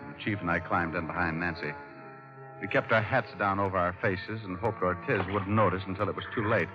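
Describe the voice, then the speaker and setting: Man acting a fast, low-voiced hard-boiled private eye. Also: steady tone, lightly acted. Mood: terse narrating. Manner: clipped, hard-boiled, wry. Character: wry, tough male private detective narrating his case. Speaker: lead actor and narrator. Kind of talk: 1940s-50s radio detective drama acting. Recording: dull old radio recording with loud music.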